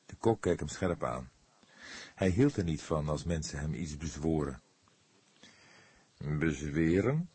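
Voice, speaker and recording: A man reading a crime novel as an expressive audiobook narrator. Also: very deep voice, moderate pace, breathy edge, dry recording.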